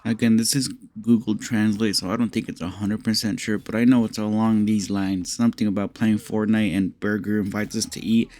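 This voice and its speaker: A young man with a slightly raspy voice giving casual, rambling commentary, swearing freely and sounding amused. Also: lowish voice, level tone, a little fast, few pauses, a little mellow. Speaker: commentary YouTuber.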